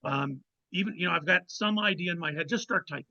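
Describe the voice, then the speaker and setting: A man's voice: a little high, quick pace, bright, forceful tone, muffled recording. A man speaking casually as an author guest in an interview, giving emphatic advice and repeating words as he goes.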